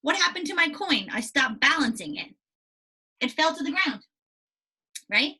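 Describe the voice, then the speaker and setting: A woman teacher giving a read-aloud lesson to young children, animated and explanatory with frequent check-in questions, in a slightly husky voice. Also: high voice, normal speed. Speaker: elementary school teacher.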